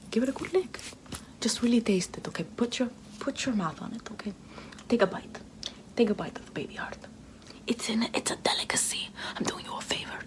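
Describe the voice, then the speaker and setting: A woman voicing a smug, egg-laying, baby-eating vampire mother in a breathy whisper with broken baby talk and lots of repetition. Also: mid-range voice, lightly acted. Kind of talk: whispered comic roleplay.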